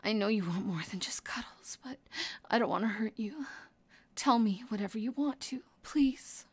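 A woman playing a guilt-ridden, devoted and dangerous lover in an intimate roleplay audio drama, with a breathy, emotional delivery. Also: high voice, measured pace. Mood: soft, hesitant. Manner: breathy, emotional delivery sliding between sobs and whispers. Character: devoted, guilt-ridden female psychopath lover, tearful and clingy.

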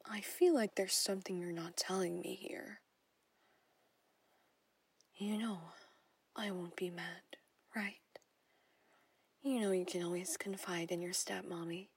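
A woman's voice: fairly low pitch, fairly even intonation, unhurried, long pauses, full character voice. A woman in a whispered audio roleplay, playing a jealous, possessive stepmother who coaxes and controls. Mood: coaxing, concerned. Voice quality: breathy whisper. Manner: intimate whispering, coaxing and controlling.

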